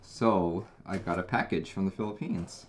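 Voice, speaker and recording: A chatty man from Taiwan hosts a casual live stream in a somewhat low voice. He talks in a loose, relaxed way and often restarts his phrases. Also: normal speed, a little hiss or hum. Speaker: host.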